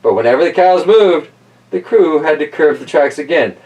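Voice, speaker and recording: A man's voice: fairly high pitch, animated, normal speed, darkish tone, far from the mic, low-quality recording. A man reading a picture book aloud for children, dropping in playful, wry asides as he goes. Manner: playful, wry commentary on the story. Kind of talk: animated children's story reading with casual asides.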